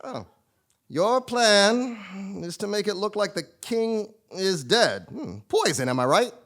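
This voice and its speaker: A man playing an eccentric, melodramatic fortune-telling sage in a comic play on stage, with drawn-out pondering and sudden cries. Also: high voice, big pitch swings, a bit bright, exaggerated voice. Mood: mystical pondering. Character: eccentric, theatrical self-styled male sage and fortune teller. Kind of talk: comic stage acting before a live audience. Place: theatre stage, live performance.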